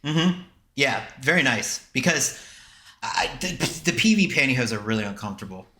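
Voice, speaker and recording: A man's voice: medium pitch, animated, moderate pace, bright, forceful tone. An American man co-hosting a podcast in casual, joking, rambling chat. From southern United States. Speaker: podcast co-host. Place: indoors on a video call. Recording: roomy, echoey sound.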